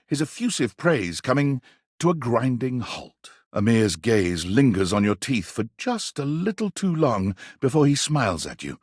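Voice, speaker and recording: Husky lowish game narrator, theatrical and wry, big pitch swings. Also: male voice, no echo, studio quality, full character voice. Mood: grand, dramatic. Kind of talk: dramatic scripted second-person narration.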